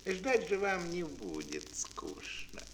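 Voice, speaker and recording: An actor playing a helpful, cunning grey wolf in a children's fairy-tale audio play, with a deliberate, drawn-out delivery. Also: male voice, high voice, huge pitch swings, fairly bright tone, a little hiss or hum, heavily acted. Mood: calm, reassuring.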